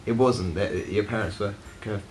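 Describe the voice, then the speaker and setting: A man's voice: fairly low pitch, normal speed, slight background noise. A young British man with a British accent, chatting casually and a bit rambling in a couple's Q&A video. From Britain. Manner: relaxed, rambling, many "like" fillers. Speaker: vlogger. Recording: light background music.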